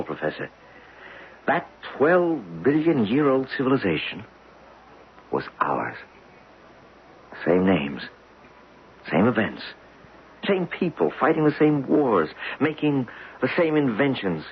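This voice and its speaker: An actor playing an earnest, alarmed geologist in a radio drama, speaking with intense, theatrical emphasis. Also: male voice, medium pitch, very animated, a little slow, long pauses. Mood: awed, grave. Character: earnest, urgent male geologist, alarmed by his discovery. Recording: dull, noisy vintage radio recording.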